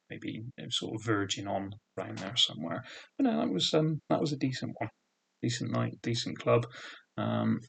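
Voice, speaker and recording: Gravelly, husky man with thick regional accent. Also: on the low side, fairly bright tone. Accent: regional British, thick. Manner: rambling, full of "sort of" and "um" fillers. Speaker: vlogger. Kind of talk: casual reminiscing monologue.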